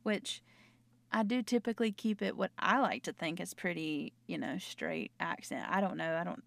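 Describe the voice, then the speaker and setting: A young Southern woman from North Carolina talks in a low voice with plenty of gravel, slightly hoarse and a little scratchy. She rambles and interrupts herself. The sound is dry and close, recorded in a small closet at home. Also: moderate pace, no echo. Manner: rambling, chatty, self-interrupting. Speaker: nurse and podcaster. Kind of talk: casual late-night chat. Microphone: own microphone.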